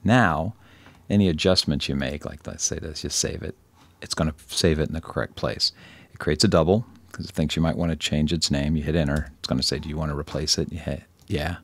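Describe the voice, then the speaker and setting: A man with a very deep voice gives an informal software tutorial. There is plenty of gravelly fry at the edges, and the sound is dry. He wanders slightly and corrects himself with dry humour. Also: fairly even intonation, a little fast, no room sound. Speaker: tutorial presenter.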